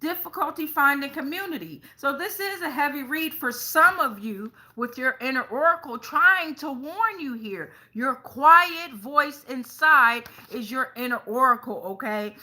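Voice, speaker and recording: A woman's voice: sits high, animated, a little slow, few pauses, bright, forceful tone. A woman hosting an improvised tarot reading, talking colloquially to viewers with frequent check-in tags and some swearing. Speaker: host, tarot reader.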